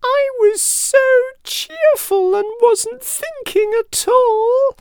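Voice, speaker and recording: A man voicing a tiny, timid male mouse in a squeaky falsetto for a children's storybook. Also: sing-song, drawn-out words, no echo, clean studio sound, exaggerated voice. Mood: frightened.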